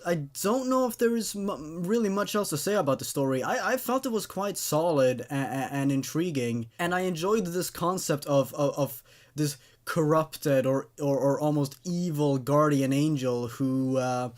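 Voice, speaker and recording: A man's voice: on the high side, expressive, normal speed. A man hosting a horror story review show, commenting and reading aloud in a hesitant way, often repeating words as he thinks. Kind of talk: rambling commentary and reading of amateur horror stories.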